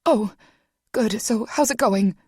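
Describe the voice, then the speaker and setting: A woman acting out a frantic mother whose voice jumps high and loud. Her lines come sharp and strained, and the read is dry and close. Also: slow, breathy edge, no room sound. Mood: anxious. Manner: shrill, loud outbursts.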